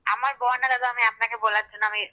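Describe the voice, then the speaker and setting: A woman's voice: a little high, flat delivery, quick pace, bright, forceful tone. A woman arguing on a telephone call, curt, indignant and challenging. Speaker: prank call recipient. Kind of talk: phone argument. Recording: telephone call with faint noise.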